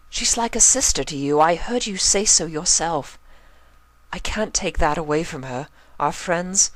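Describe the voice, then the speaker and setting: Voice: mid-range voice, a bit bright, dry recording, voice pushed up, low-quality recording. A performer voicing an elegant young female unicorn from a cartoon fan story, refined, theatrical and full of tender emotion.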